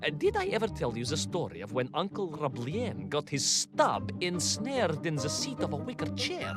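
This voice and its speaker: An actor playing a bumbling, boastful but kindly Soviet cosmonaut, telling tall tales for a game, dramatic and rambling. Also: male voice, on the high side, wildly swooping pitch, moderate pace, over loud music. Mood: chatty, fond. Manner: dramatic, rambling, full of hesitant asides. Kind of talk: theatrical storytelling game voice acting.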